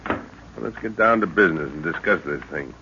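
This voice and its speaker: A man's voice: very deep voice, expressive, brisk, noisy background. A man playing an older explorer professor and protective father in an old radio serial, explaining and commanding with authority. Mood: explanatory, assured. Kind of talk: 1930s-40s radio serial acting. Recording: muffled old radio recording.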